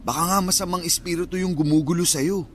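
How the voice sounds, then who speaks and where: An actor playing a nervous, well-meaning young cousin in a radio drama, colloquial and concerned. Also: male voice, sits high, steady tone, fast talker, slight background noise, lightly acted.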